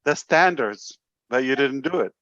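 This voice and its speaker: A man with an Indian accent makes joking asides during an online meeting. His voice sits at a middle pitch. The call audio is dull and dry. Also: animated, normal speed, a bit bright, no room sound. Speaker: meeting participant. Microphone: video call.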